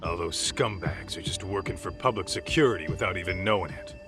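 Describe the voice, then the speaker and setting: Low-voiced wisecracking tough guy. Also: a little fast, over music. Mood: brash, casual. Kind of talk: English video game dub dialogue.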